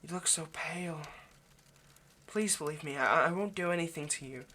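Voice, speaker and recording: A man's voice: a little high, moderate pace, sharp tone. A man playing a nervous, centuries-old vampire kidnapper in an intimate ASMR roleplay, hesitant, stammering and apologetic as he speaks to the listener. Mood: lovesick pleading. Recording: faint background noise.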